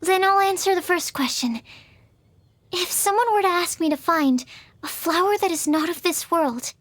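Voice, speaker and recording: A woman voicing a timid, soft-hearted young female researcher in an anime-style video game. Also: very high voice, moderate pace, no room sound.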